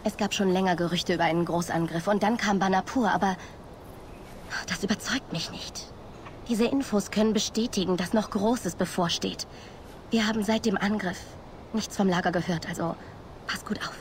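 A woman plays a young rebel leader in a dramatic video game. She sounds serious, guarded and earnest in a medium-pitched voice, with faint ambient noise behind her. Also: fairly even intonation, moderate pace, natural voice. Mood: wary, serious. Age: young adult. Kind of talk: dramatic video game acting in German dub.

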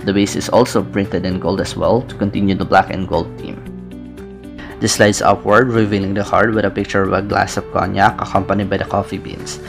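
Gravelly young man reviewing over music. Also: deep voice, fairly quick. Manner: steady, methodical, descriptive delivery. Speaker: fragrance reviewer. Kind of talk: scripted product review narration. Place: small indoor room with brick-pattern wall. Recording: clear background music under voice. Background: background music.

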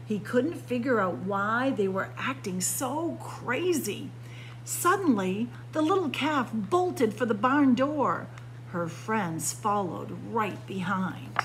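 Female picture book narrator: unhurried, dramatic phrasing, recorded indoors with background noise. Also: lively intonation, poor audio. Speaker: library story time reader. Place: indoors by bookshelves. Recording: clear background noise with low hum.

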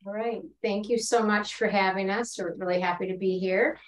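A woman's voice: mid-range voice, fairly even intonation, moderate pace. Woman speaking from across a kitchen. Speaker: cooking instructor. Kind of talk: casual talk. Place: home kitchen. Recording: voice from far off the mic.

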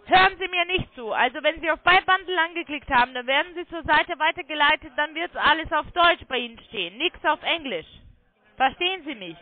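A woman's voice: high-pitched, expressive, brisk, dry recording. A woman working as a call centre sales agent, talking in an insistent, condescending way on a phone sales call. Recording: tinny phone line.

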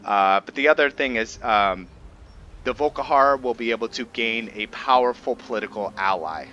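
A man giving casual, halting commentary as he explains his roleplay video game playthrough. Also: mid-range voice, bright, forceful tone, lo-fi sound, a little hiss or hum. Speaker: gamer narrating a roleplay playthrough. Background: faint game music.

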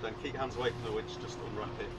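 A man's voice: mid-range voice, steady tone, very fast. A man crewing a sailboat, joking around and patiently coaching a beginner through a tack. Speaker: crew member. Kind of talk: casual banter and sailing instruction. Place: open sailboat deck on the water. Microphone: action camera on the boat. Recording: loud wind and water noise.

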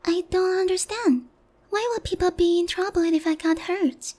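A woman's voice: very high-pitched, steady tone, moderate pace, a little mellow. A woman voicing a naive, sheltered young harpy in an ASMR roleplay, speaking politely and hesitantly to one listener. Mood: innocently puzzled. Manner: timid, hesitant, polite, airheaded.

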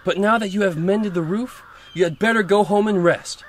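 Man playing a pompous high-voiced miller. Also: moderate pace, close to an everyday voice. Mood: pompous, preachy. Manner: pompous, preachy. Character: self-important, selfish male miller, preachy false friend. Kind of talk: audio storybook drama acting. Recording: faint background noise.

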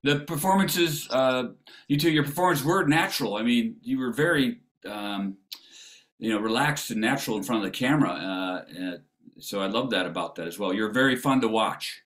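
A middle-aged man hosting a Q&A on a video call, speaking in a halting, encouraging way with many restarts. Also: mid-range voice, a bit bright. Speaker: host. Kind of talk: casual Q&A interview on a video call. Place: indoor room with bookshelves.

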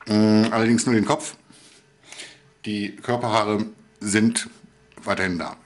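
A man in his thirties speaking hesitantly and deadpan in a video diary, recorded indoors on a camera. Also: lowish voice, extremely slow. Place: small room in a flat, indoors.

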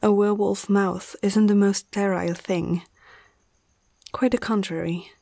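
A woman acting out a young female vet in an ASMR fantasy roleplay. She speaks slowly and hesitantly in a husky, breathy voice that often slips toward a whisper. She is nervous yet caring and firm, heard close up with a dry sound. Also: mid-range voice, steady tone, mellow, dry recording. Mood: concerned, firm. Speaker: amateur ASMR roleplay performer.

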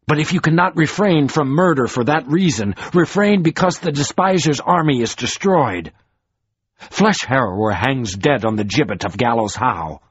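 A man voicing a grave, stern lord who speaks in measured, formal, old-fashioned sentences, on a dull lo-fi recording. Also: medium pitch, lively intonation, slightly rough, dry recording, pitched above the performer's own voice. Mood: solemn. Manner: measured, formal, archaic speech. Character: solemn grave male lord, stern, weary.

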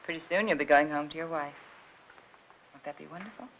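An American woman in her thirties acting a sweet, playful, easily flustered young woman with coy, expressive delivery in a 1950s Hollywood film. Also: low-pitched, brisk, lo-fi sound. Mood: soothing. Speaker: actress. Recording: faintly noisy vintage film soundtrack.

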